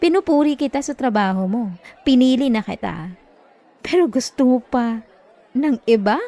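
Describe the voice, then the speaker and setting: A woman's voice: on the high side, animated, mellow. A Filipino woman playing a possessive, obsessive young student bully in a roleplay audio drama, condescending and taunting, with dramatic swings in delivery. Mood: frustrated, jealous. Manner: condescending, taunting, dramatic swings from slow murmurs to exasperated bursts. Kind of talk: roleplay ASMR acting, direct address to listener.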